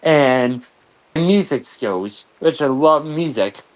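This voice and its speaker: A young man chatting casually with a friend on a livestream, talking in a halting, hesitant way with many ums. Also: medium pitch, animated, rough recording. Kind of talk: casual chat while gaming.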